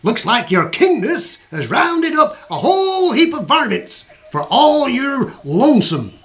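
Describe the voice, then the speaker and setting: An amateur actor playing a brash cowboy-style sheriff with a mock Southern American drawl in a radio play. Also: male voice, very high voice, big pitch swings, unhurried, a bit bright, full character voice. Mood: swaggering. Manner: drawling, folksy. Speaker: Sheriff Rusty.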